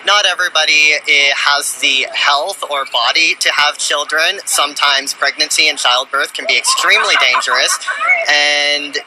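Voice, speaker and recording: A young man explaining his views in halting, measured phrases in an outdoor street interview. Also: on the high side. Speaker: student protester and interviewee. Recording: faint street noise behind.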